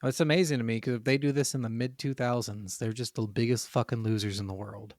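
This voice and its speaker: A man's voice: medium pitch, fairly even intonation, fast talker, a little mellow, no echo, clean studio sound. A man co-hosting a podcast in casual banter, blunt and profane with slangy phrasing. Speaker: podcast co-host. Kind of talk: casual banter between friends. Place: remote recording from separate locations.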